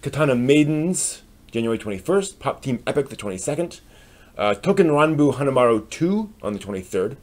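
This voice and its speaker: A man with an American accent hosting a live stream, giving a casual news rundown in a hesitant, halting way as he thinks aloud. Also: mid-range voice, very animated, darkish tone. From United States.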